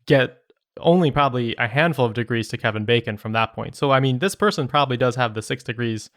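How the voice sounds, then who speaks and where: A man's voice: medium pitch, quick pace, fairly bright tone, no echo, clean studio sound. A man co-hosting a podcast, reading listener stories aloud and chatting casually with joking asides. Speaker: podcast co-host.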